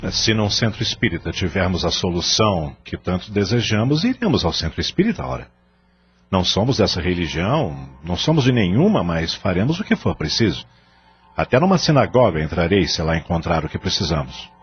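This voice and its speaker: An actor playing an exasperated family man in an audio drama, with a husky voice. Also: deep voice, sing-song, brisk, exaggerated voice. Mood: grudging, indignant. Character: exasperated husband and father of a troubled household. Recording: muffled lo-fi audio with music.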